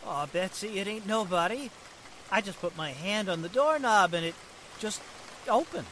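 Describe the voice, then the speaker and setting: A brash teenage boyfriend, played by a man in a 1940s radio thriller. He talks in slangy, teasing bravado with a sharp, lively voice. Rain patters faintly behind. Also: high-pitched, normal speed. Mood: cocky, teasing. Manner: slangy, cocky, casual grammar. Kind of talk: 1940s radio drama acting. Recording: noisy recording with rain.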